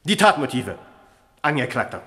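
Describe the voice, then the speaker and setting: An actor playing a pedantic, fussy public prosecutor, formal and insistent, in a satirical radio drama. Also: male voice, mid-range voice, very animated, moderate pace, bright, forceful tone.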